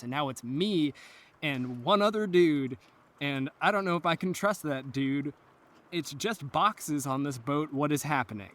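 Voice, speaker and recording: Slow, dramatic man explaining in an interview. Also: medium pitch. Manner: dramatic, emphatic, deliberate phrasing. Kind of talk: panel interview talk.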